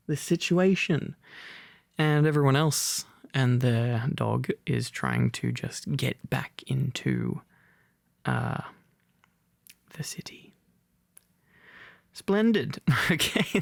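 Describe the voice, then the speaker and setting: A man's voice: mid-range voice, sing-song, slow, gravelly, long pauses, no echo, studio quality. A young man speaking in a casual, playful and teasing boyfriend-roleplay ASMR style, rambling as he chats to the listener. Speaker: ASMR roleplay performer, reader.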